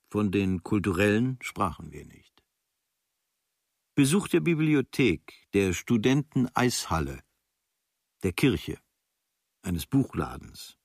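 A low-pitched young German writer reads his travel diary for a radio play. He is observant and dryly critical, with sober, measured, clipped phrasing. The sound is close and dry. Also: expressive, no echo. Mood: detached, observant. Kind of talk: literary reading of a travel diary.

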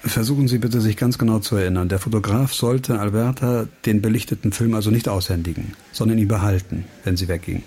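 An actor playing a wry, impatient investigator in a radio play, with a dry, probing manner. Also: male voice, on the low side, brisk, hardly any pauses, mellow, close to an everyday voice. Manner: dry, probing, hesitant asides. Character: wry, impatient male investigator questioning a witness.

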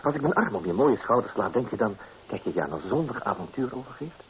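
An actor playing a young, amorous painter, coaxing and theatrical, in an old radio drama. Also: male voice, on the low side, big pitch swings, fast talker. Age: young adult. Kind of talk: old radio play acting. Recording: tinny old radio recording, hissy.

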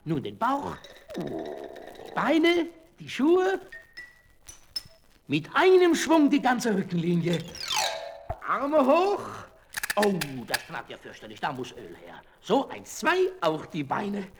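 An actor playing a kindly, lonely old puppet maker, talking fondly and theatrically in a children's radio play. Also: male voice, sits high, huge pitch swings, slow pace, gravelly, pauses often, background noise, full character voice. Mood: playful, fond. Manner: exclaiming, talks fondly to his puppets.

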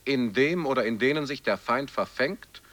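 A man's voice: mid-range voice, moderate pace, fairly bright tone, no room sound, close to an everyday voice. A man performing a mocking declaimer in an avant-garde radio play, with exaggerated, theatrical, chopped delivery. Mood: brisk, pointed. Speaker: performer in a spoken-word sound composition.

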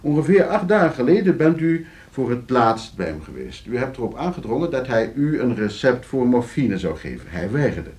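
An actor playing a smug, scheming lawyer in a Dutch radio play, suave and condescending, with a Netherlands accent and hesitant repetitions. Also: male voice, mid-range voice, sing-song, normal speed, few pauses, a little mellow, lightly acted. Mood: needling, smooth. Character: smug, scheming lawyer, falsely hospitable and needling.

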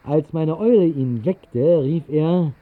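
An actor playing a wry vagrant poet, narrating in a dry, measured way in a 1960s literary radio drama. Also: male voice, on the high side. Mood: wry narration. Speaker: first-person narrator. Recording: thin, phone-like old radio recording.

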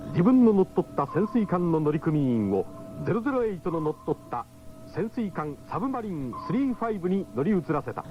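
A man's voice: on the high side, very animated, a little fast. A man giving dramatic scripted narration for a radio drama. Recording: dull 1970s radio broadcast recording. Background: music.

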